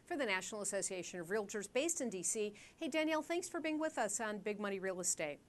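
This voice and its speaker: A woman's voice: mid-range voice, lively intonation, fast. A woman hosting an interview show, asking conversational, curious questions while losing her voice. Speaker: show host.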